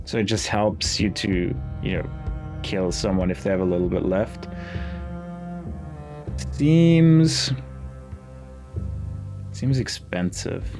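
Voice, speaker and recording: Low, gravelly man musing over a game. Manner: hesitant, musing, reads game text aloud. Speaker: gaming video host. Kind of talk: casual game commentary, thinking aloud. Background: game music.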